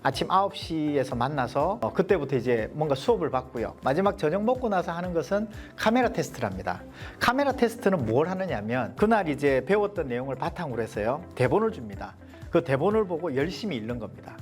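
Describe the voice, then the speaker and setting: A man with a softened Gyeongsang accent, giving a leadership coaching lecture to a small group and posing rhetorical questions. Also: fairly high pitch, very animated, a little fast, faint music behind. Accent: Gyeongsang Korean, mostly softened. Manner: poses rhetorical questions, explanatory.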